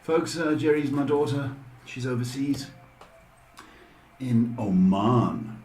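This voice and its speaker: Slow-talking man in an echoey room. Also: mid-range voice, expressive, darkish tone. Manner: rambling, joking. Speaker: live stream host giving advice. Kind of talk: casual chat. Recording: echoey room, faint background noise.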